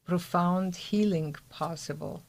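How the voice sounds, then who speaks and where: A woman's voice: fairly low pitch, slow, gravelly, a little mellow, a little hiss or hum. A woman with an Austrian accent and German as her native language, speaking conversationally as an interview guest who teaches meditation.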